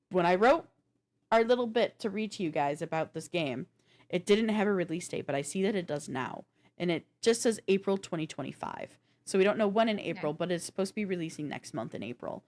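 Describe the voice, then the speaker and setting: A woman with a slightly low voice talks casually as a podcast co-host reviewing games. She tells things in an animated, self-deprecating way, in loose conversational speech. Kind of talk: casual gaming chat and review.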